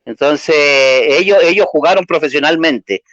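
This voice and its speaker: A man's voice: fairly high pitch, a little slow, a bit bright, dry recording. A man from Chile with a Chilean accent, a guest in a casual interview, hesitant and full of fillers. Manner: hesitant, many fillers, slightly nervous. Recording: dry lo-fi sound.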